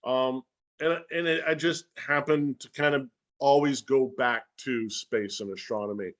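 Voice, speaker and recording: A man's voice: mid-range voice, a little slow. A man giving a casual, explanatory talk as a guest educator, informal and folksy, often acting out imagined bits of dialogue. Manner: animated, acts out imagined dialogue. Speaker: guest speaker and science educator.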